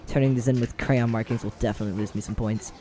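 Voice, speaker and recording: A man's voice: medium pitch, steady tone, fast talker, warm tone, natural voice. A man playing an easygoing young college student who jokes around in a laid-back way in an audio roleplay. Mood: joking, laid-back.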